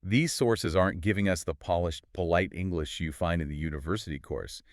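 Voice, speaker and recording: Man co-hosting a podcast, very low voice, calm and explanatory. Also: brisk, dry recording, clean studio sound. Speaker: podcast co-host. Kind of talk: scripted podcast conversation.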